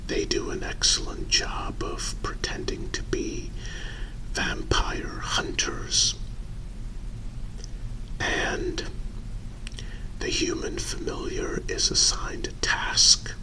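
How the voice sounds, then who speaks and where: A man playing a calm, discreet vampire in a whispered ASMR role-play, speaking in deliberate, halting, measured phrases. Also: low-quality recording. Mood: hushed, measured.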